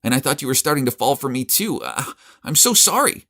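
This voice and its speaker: A man voicing a scheming young woman who speaks in a mean, shrill tone. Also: big pitch swings, a little fast, bright, forceful tone, full character voice. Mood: pleading. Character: scheming young woman, spiteful then pleading.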